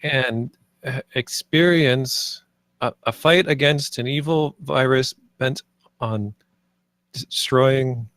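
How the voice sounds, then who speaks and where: A man's voice: medium pitch, slow, fairly bright tone, no echo. A man playing an eager, nervous theme park designer in a script table read, sounding like a slightly hesitant salesman. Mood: nervous salesmanship.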